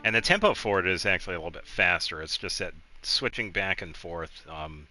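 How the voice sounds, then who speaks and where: A man livestreams a game in a low-pitched, very bright voice. He explains things in an easygoing, rambling way, like casual chat. Game music plays behind him. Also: brisk.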